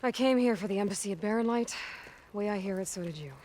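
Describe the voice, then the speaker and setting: An actress playing a determined, impatient young woman warrior in a video game, blunt and dry, with a husky voice. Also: mid-range voice, quick pace, a little mellow, slight background noise, natural voice. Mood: dry, unimpressed. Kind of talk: video game cutscene acting.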